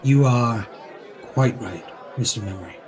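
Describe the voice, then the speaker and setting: A man's voice: medium pitch, unhurried, a little mellow, some chatter behind, lightly acted. A man acting a plucky, wrongly accused adventurer in an audio play, urgent and suave in manner. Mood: calm, relieved. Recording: noisy home-recorded audio on consumer device.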